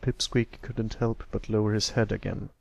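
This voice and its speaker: A man's voice: lowish voice, flat delivery, normal speed, gravelly, no echo, highs cut off. A man reading scripted story narration as an amateur narrator. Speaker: amateur fan fiction narrator.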